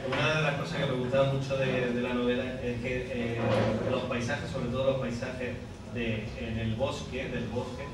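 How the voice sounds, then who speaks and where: A man presenting and interviewing an author before an audience, friendly and measured. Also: medium pitch, little pitch movement, heavy background noise. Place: busy café with an audience. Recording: echoey café room, mic far off, loud crowd.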